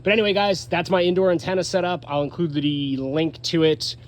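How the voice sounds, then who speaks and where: A man's voice: on the high side, level tone, fairly quick. An American man giving a casual, hands-on gear review in a conversational, matter-of-fact way, recorded in his home attic. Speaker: vlogger, radio hobbyist.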